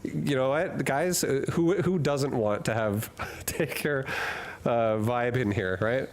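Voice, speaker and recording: A man's voice: mid-range voice, slow pace. A man streaming a game from a hot room at home, chatting casually and rambling through jokes while he reads chat and laughs often.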